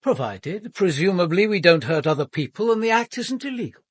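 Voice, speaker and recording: An actor with a British accent playing a senior police detective in a radio drama, measured, formal and probing. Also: male voice, high voice, sing-song, breathy edge, dry recording, exaggerated voice. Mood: probing, firm. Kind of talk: British radio drama acting and first-person narration.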